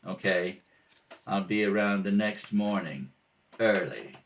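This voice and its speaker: A man's voice: deep voice, takes it slowly. A man playing a jealous, bullying small-time hoodlum in a radio crime drama, sneering and pushing for answers. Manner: sneering, pushy interrogation. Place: indoors at a kitchen table.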